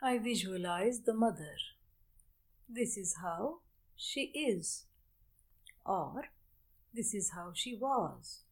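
Woman doing guided meditation, really slow, short phrases w long pauses, middle pitch. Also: animated, breathy edge. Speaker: meditation teacher.

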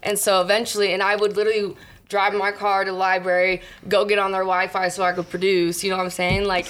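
A young woman from Southern Illinois, a musician talking casually in a rambling, filler-heavy, excitable way. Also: medium pitch, fast talker. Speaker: guest musician. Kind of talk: casual interview chat.

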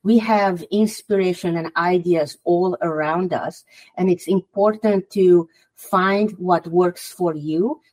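A woman's voice: on the low side, slow, a little mellow. A woman from Hungary with a Hungarian accent, speaking English, which is not her first language, as a guest author on a podcast interview and giving earnest advice.

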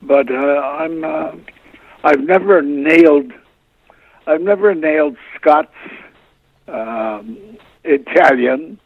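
Raspy older man on a phone line. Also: on the high side, no room sound. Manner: rambling, halting, many fillers and restarts. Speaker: guest actor. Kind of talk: relaxed interview storytelling.

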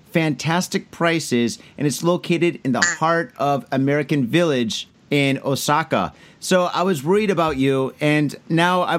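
A man hosting a casual podcast, talking loudly and loosely over a drink with plenty of fillers. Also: fairly high pitch. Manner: loud, laid-back, tipsy banter with fillers. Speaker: podcast host.

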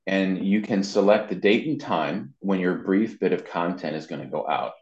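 A man's voice: deep voice, normal speed. A man giving informal, explanatory advice as an interview guest, checking in with "you know" as he goes. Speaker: interview guest, marketing and web consultant. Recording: muffled lo-fi sound, roomy echo.

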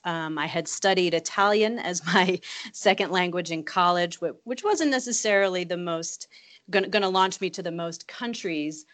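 A woman in her late thirties with a notably low voice gives interview answers. She speaks thoughtfully, often restarting and repeating words as she works out her phrasing. She is from Virginia. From Virginia, United States. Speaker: guest, government attaché.

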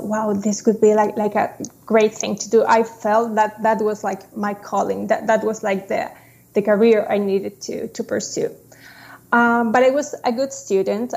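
Slow-speaking woman with gravelly tone. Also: medium pitch, darkish tone. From Chile. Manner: slow, halting, with frequent restarts. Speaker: guest, designer. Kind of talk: casual interview.

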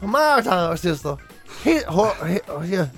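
A high-pitched Irish man chats as a radio co-host. His talk is loose, comic banter, colloquial and sweary, full of exaggeration. Music plays faintly behind him. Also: big pitch swings, measured pace, a bit bright, breathy edge.

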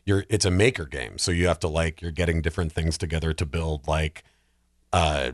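A man talking casually as a podcast co-host, thinking out loud about films and games. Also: very deep voice, a little fast, no echo, studio quality.

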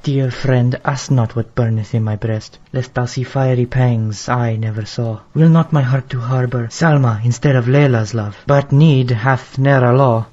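A man's voice: mid-range voice, steady tone, a little slow, few pauses, a little mellow, natural voice. A man playing a young merchant from Baghdad, telling his tale in formal, declamatory archaic English for an audio drama. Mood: sorrowful, grave. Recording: dull lo-fi sound, faint music behind.

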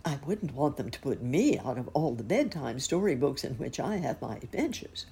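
A gentle, well-mannered old bunny gentleman from a bedtime storybook, as a woman puts him on. The voice is low and raspy with some gravel. The delivery is polite and kindly. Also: very animated, normal speed, heavily acted.

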